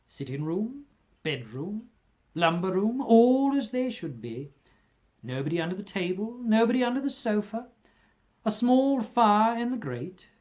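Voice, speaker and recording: An amateur narrator reads a classic ghost story aloud in a theatrical way. The pitch sits mid-range and moves in wide, lively sweeps. Phrases swell emphatically and then fall away softer. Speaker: amateur audiobook reader.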